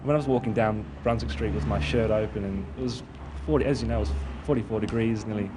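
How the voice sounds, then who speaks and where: A man's voice: on the low side, quick pace. A young man, born in Italy, chatting in a hesitant, rambling way about his clothing business in a casual street interview. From born in Italy, raised in Australia. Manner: rambling, hesitant, full of "sort of". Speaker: clothing designer and shop owner. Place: outdoors on a city street. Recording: street traffic noise behind.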